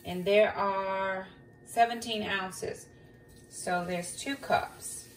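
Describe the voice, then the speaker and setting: A woman's voice: medium pitch, fairly even intonation, extremely slow. A woman with a middle-range voice chats through a cooking walkthrough at her kitchen counter, explaining each step as she goes with the odd um. She sounds a little distant from the phone, with a faint hiss of background noise. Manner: chatty, explaining step by step with ums. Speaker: mom vlogger. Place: home kitchen counter indoors. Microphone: phone camera pointed down at the counter. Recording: voice a bit far from the mic, faint background noise.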